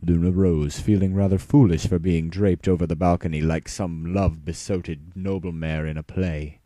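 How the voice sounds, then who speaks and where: A man's voice: deep voice, steady tone, normal speed, dark tone, dry recording. A man narrating a story as a dramatic reading.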